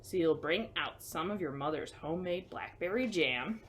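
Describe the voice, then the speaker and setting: A woman reading a picture book to children as a storyteller, expressive, with chatty, simple asides to young listeners. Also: lowish voice, moderate pace, a little hiss or hum.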